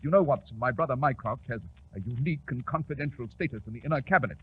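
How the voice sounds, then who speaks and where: An actor playing an incisive, self-assured British detective in precise, authoritative 1940s radio drama style. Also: male voice, medium pitch, quick pace, natural voice. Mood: grave, precise. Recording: scratchy 1940s radio broadcast, narrow band.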